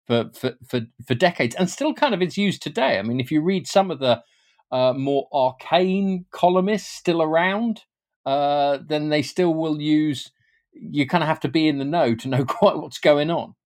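A man's voice: on the high side, animated, moderate pace. A British man speaking as an interviewed author, explaining things informally and often hesitating or repeating words as he goes. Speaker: guest author and historian.

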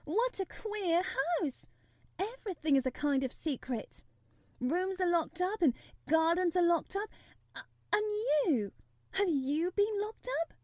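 A forthright, curious young girl in a storybook, acted out by a woman. Her voice is high and swings up in emphatic, wondering questions, with a touch of fright, in a close, dry sound. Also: lively intonation, unhurried, darkish tone, dry recording. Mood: mystified. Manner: gasping, faltering, emphatic questions.

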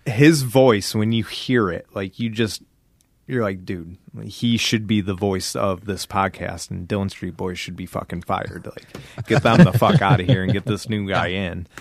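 A man guesting on a podcast, telling casual stories in an animated way and acting out what people said. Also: lowish voice, measured pace, no echo. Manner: animated, acts out quotes. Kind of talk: casual storytelling chat.

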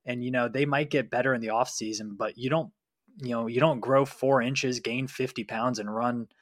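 A man's voice: medium pitch, fairly even intonation, normal speed, no room sound, studio quality. A man co-hosting a sports podcast, giving casual, conversational analysis.

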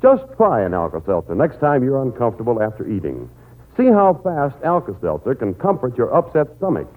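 A man's voice: mid-range voice, huge pitch swings, fairly quick, darkish tone. An American man announcing a sponsor message in 1940s radio advertising style. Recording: muffled old narrow-band radio recording.

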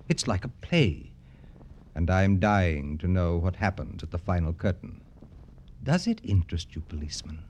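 Swooping, effete, jaded rich satirist. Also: male voice, deep voice, a little mellow, slight background noise, full character voice. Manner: florid, theatrical, affected, drawn-out emphasis. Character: effete, jaded, arrogant wealthy satirist toying with people. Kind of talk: old-time radio drama acting.

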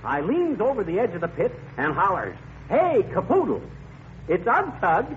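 An actor playing a genial, blustery small-town teller of tall tales in a folksy 1940s radio comedy style. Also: male voice, high-pitched, huge pitch swings, moderate pace. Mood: boastful, jovial. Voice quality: chuckling, folksy delivery. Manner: folksy storyteller, rambling and emphatic. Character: genial blustery tall-tale-telling middle-aged small-town man. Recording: muffled, noisy old radio recording.

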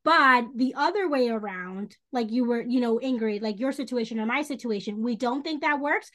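A woman from the Dominican Republic and New York City, a social worker talking as a podcast guest in an animated, emphatic way, full of asides. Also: on the high side, normal speed. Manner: animated, emphatic, thinks aloud with many asides. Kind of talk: podcast conversation.

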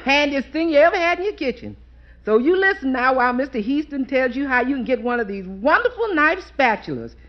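A woman's voice: sits high, sing-song, fairly quick. A woman playing a folksy, chatty household cook in a 1940s radio show, on a dull old radio recording.

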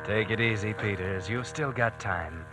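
A man's voice: fairly low pitch, moderate pace, lightly acted. Guy acting tense inner-voice narrator, old time radio drama, clipped orders, music behind him. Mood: controlled urgency. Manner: terse, clipped commands in short phrases. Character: tense inner narrator voice urging a nervous man on. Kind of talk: 1940s radio drama narration, second person.

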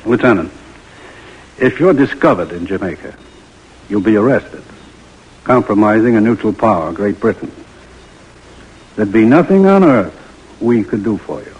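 An actor playing a stern, commanding army major, terse and dryly sardonic, in an old-time radio drama. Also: male voice, fairly low pitch, a little mellow, breathy edge, heavily acted. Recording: muffled vintage radio recording.

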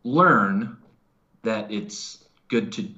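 Man, American accent, lowish pitch, casual co-host chat, slightly dull recording. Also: animated, extremely slow, pushed and bright. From United States. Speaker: show regular, co-host.

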